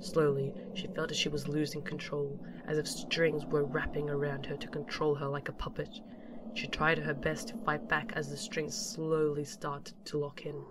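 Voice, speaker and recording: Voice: mid-range voice, completely flat delivery, moderate pace, noisy background. A narrator reading a scripted story for a fan audio drama.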